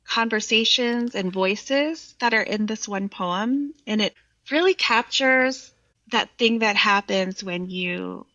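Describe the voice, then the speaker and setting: A woman's voice: mid-range voice, takes it slowly, sharp tone, no echo, highs cut off. A woman guest on a poetry podcast talking in a thoughtful, articulate, explanatory way about literature. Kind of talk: reflective literary conversation with some poem reading.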